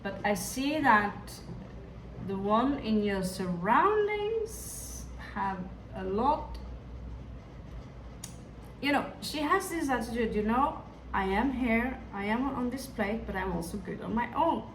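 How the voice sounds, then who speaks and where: Slow echoey tarot-reading woman. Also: on the high side, big pitch swings, a bit bright. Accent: Hindi-influenced. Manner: musing, hesitant, talks to the viewer directly. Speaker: tarot reader. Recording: echoey room, distant mic, background noise.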